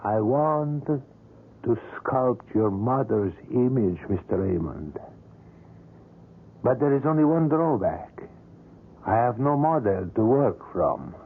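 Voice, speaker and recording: An actor playing an obsessive older male sculptor in a vintage radio drama, speaking in a smooth, courtly, persuasive way. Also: medium pitch, lively intonation, slow, long pauses, full character voice. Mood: courtly, insistent. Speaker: sculptor character. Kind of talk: 1970s radio drama acting. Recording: tinny lo-fi old radio broadcast.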